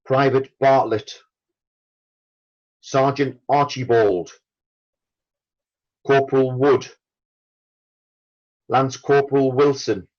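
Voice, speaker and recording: A man reads out a memorial roll call at an extremely slow, measured pace. His delivery is formal and solemn, with a pause after each entry. His pitch is average for a man, and the recording sounds slightly dull and lo-fi. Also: fairly even intonation. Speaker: veterans' branch chairman reading a roll call.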